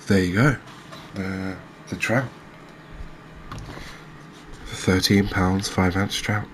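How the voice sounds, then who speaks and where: A man giving soft-spoken, calm and gentle ASMR commentary over a video game at an unhurried manner. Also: low-pitched, a little hiss or hum.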